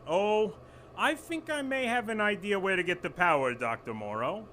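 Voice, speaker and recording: A man's voice: high voice, expressive, moderate pace, pushed and bright, a little hiss or hum. A man playing an exasperated, sardonic company executive under pressure in an audio drama, urgent and commanding.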